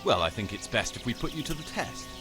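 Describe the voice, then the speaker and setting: An actor playing a no-nonsense bandit leader in a medieval game, giving orders in an authoritative, matter-of-fact way. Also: male voice, mid-range voice, very animated, fast, music in the background, close to an everyday voice. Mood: commanding. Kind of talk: video game cutscene acting.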